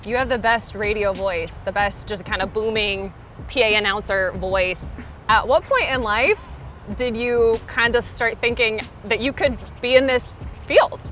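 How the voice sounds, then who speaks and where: Female American reporter, high, bright and forceful voice, chatty interview questions. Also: a little slow, slight background noise. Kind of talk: casual conversational interview.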